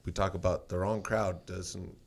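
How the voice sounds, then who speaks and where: A man in casual conversation, telling stories in a loud voice, rambling with many restarts and fillers. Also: sits low, little pitch movement, normal speed.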